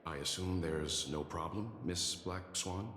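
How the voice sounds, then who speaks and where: A man voicing a composed, protective mentor in a video game, speaking seriously in deliberate, measured phrases. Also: deep voice, background noise. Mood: grave, composed. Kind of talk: scripted video game dialogue. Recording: roomy echo with music underneath.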